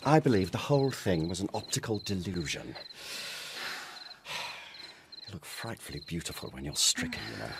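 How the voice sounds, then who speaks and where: An actor playing a suave, self-assured British colonial official and secret lover in a period radio drama, speaking breezily in clipped upper-class phrases. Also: male voice, sits low, sing-song, quick pace, background noise. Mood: cool, cocky.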